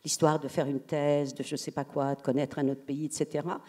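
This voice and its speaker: Low, dark-voiced hesitant woman. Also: level tone, a little fast. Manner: soft-spoken, hesitant, searching for words. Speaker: writer on a panel. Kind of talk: personal storytelling in panel talk. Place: theatre stage at a public literary event.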